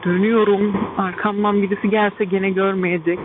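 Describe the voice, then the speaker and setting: A man's voice: flat delivery, moderate pace. A man chatting casually and self-deprecatingly as a motovlogger, commenting as he rides a motorcycle and talks into a helmet Bluetooth intercom. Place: outdoors, riding a motorcycle. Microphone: helmet Bluetooth intercom microphone. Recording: tinny low-quality Bluetooth intercom, loud engine noise. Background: loud motorcycle engine and vibration.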